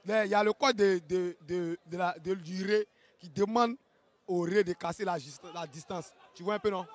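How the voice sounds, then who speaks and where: A man with an Ivorian accent giving colloquial live fight commentary, excitable, repeating phrases and adding tag questions. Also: high-pitched, moderate pace. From Ivory Coast.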